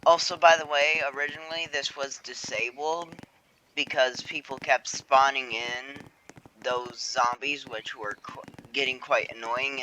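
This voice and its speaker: Slow, bright-voiced young guy. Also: medium pitch, a little hiss or hum, low-quality recording. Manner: rambling, many fillers and self-corrections. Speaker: game creator showing his game. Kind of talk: casual screen-recorded game walkthrough. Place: home room.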